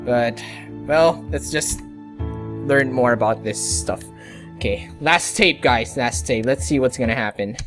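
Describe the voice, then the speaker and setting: Female gaming host, deep for a woman, chatty and exclamatory, reacting casually, music underneath. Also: a little slow. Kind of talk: casual reaction commentary on a game.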